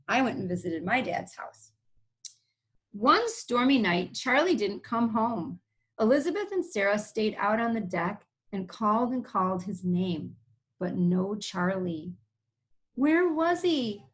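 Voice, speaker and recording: A woman teacher reading a picture book aloud, pausing to explain things simply to young listeners. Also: medium pitch, very animated.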